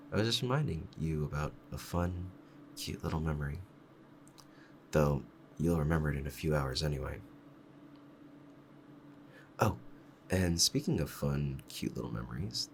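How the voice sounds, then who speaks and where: Very deep-voiced, doting possessive fox-spirit husband played by a man. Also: gravelly, a little hiss or hum, full character voice. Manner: soft, rambling, hesitant, lovestruck. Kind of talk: intimate ASMR roleplay monologue.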